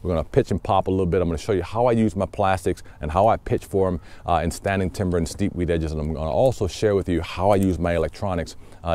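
Deep, dark-voiced fishing host. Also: male voice, slight background noise. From Southwest Ohio. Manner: relaxed, repeats words, many "uh" fillers. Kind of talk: casual how-to narration while fishing. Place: outdoors on a boat on a lake.

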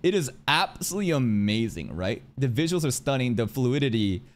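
A young man doing excitable livestream reaction commentary, slangy and crude in his joking, speaking close to a boom-arm mic. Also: fairly quick. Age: young adult. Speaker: streamer reacting to a game trailer. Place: small indoor room. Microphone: boom-arm microphone up close.

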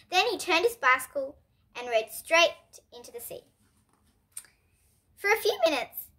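A young girl with a high, bright voice reads a storybook aloud. Her delivery is expressive and deliberate, swinging widely in pitch and pausing for drama as she hosts the reading. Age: child. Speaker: young host reading children's books aloud.

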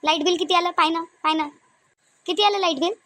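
A domineering cartoon mother-in-law played by a woman rattles off her complaints at high pitch in a Varhadi Marathi accent. She is thrifty and short-tempered, nagging with question after question in a dry, close recording. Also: a little fast, a bit bright, no echo. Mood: scolding, sarcastic. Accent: Varhadi Marathi (Vidarbha). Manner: nagging, repetitive rhetorical questions. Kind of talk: comedic cartoon dialogue.

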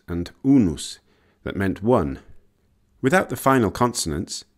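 A man reading scripted educational narration in a measured, explanatory way. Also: sits low. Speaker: narrator of an educational language history video.

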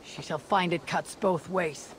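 An actress playing a confident young female Viking warrior in a game, husky, stoic and deliberate in her speech. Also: fairly low pitch, fairly even intonation, a little hiss or hum, lightly acted. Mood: guarded, resolute. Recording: faint game ambience behind.

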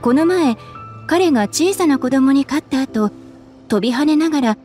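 A calm, polite townswoman. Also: sits high, moderate pace, soft background music. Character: polite, composed young townswoman. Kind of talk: video game voice acting.

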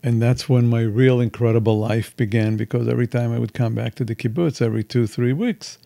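Older man, candid storyteller, dry close sound, gravelly tone. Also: medium pitch, steady tone, brisk, dark tone, dry recording. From Israel. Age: 60s. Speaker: guest. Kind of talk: casual interview chat.

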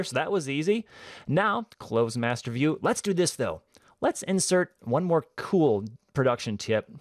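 Animated man presenting a software tutorial. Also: mid-range voice, no echo, studio quality. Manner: conversational, step-by-step explaining. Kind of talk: casual screencast software tutorial.

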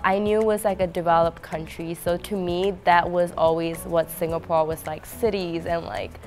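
A woman's voice: fairly low pitch, level tone, moderate pace, a little mellow, faint music behind. A young woman from Nepal, a university student chatting in an indoor interview with many fillers. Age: young adult. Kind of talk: casual interview. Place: indoor lounge with fireplace.

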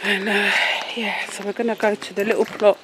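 A woman's voice: medium pitch, level tone, brisk. A woman with a London accent chatting casually to a handheld camera as she vlogs. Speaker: vlogger. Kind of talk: casual vlog chat. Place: outdoors on a village path and at a small shop. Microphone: handheld camera at arm's length. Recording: clear outdoor and room noise.

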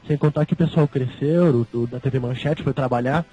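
A man's voice: medium pitch, fairly quick, mellow, husky, rough recording. A young man from Brazil co-hosting a podcast, chatting in a playful, joking way. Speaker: podcast co-host. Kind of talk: casual group chat. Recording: faint music behind.

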